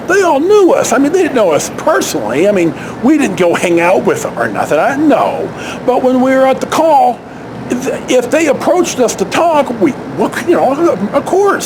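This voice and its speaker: A man tells stories casually, in a halting, rambling way with asides and self-corrections. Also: very high-pitched. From San Francisco Bay Area, United States. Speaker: vlog host telling personal stories. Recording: clear steady background noise.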